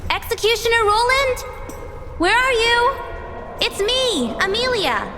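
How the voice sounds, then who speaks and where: A woman's voice: extremely high pitch, expressive, unhurried, background noise. An actress playing a frightened female captive in a video game, pleading and frantic. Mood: searching. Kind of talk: video game drama acting. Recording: long cavernous reverb, music underneath.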